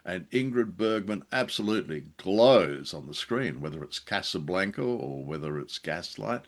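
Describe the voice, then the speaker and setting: A man with a low-pitched voice co-hosts a casual review discussion. His delivery is conversational and somewhat hesitant, with a jokey streak as he trades thoughts with a fellow host. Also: lively intonation, normal speed. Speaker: podcast co-host. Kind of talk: casual film and radio discussion.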